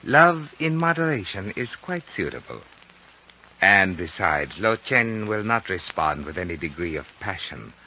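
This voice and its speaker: An actor playing a wise, courteous older man of a monastery in a vintage radio drama, speaking formally in measured, flowery phrases. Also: low-pitched, big pitch swings, pushed and bright, heavily acted. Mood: gentle, reassuring. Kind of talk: 1950s radio drama acting. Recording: dull 1950 radio recording, faint noise.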